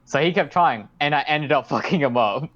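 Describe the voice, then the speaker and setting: A man's voice: fairly high pitch, lively intonation, normal speed, a bit bright, highs cut off. A man, telling stories in a casual podcast chat, swearing freely and rambling with lots of fillers. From South Auckland, New Zealand. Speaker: podcast co-host.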